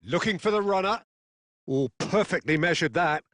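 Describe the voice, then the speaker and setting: A man's voice: high voice, expressive, normal speed, fairly bright tone, a little hiss or hum. A man voicing a football video game's play-by-play commentator, with dramatic, punchy phrasing. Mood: engaged, dramatic.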